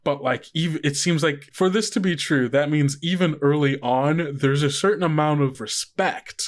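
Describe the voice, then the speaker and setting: Casual man thinking out loud. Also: a little high. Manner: halting, thinking aloud, frequent hedging. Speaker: podcast host and game facilitator. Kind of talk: casual unscripted tabletop role-play discussion.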